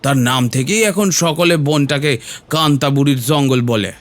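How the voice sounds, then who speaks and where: A man's voice: on the high side, normal speed, lightly acted. A man playing a talkative, superstitious village uncle with a rural Bengali accent and folksy, hesitant phrasing in an audio horror story. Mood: explanatory. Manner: folksy, repeats verbal tags, hesitant fillers.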